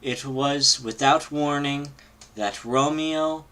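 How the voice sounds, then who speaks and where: A man reading a horror story aloud informally on a live stream, halting and stumbling over long words. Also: medium pitch, bright, forceful tone. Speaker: amateur reader on a live read-along stream.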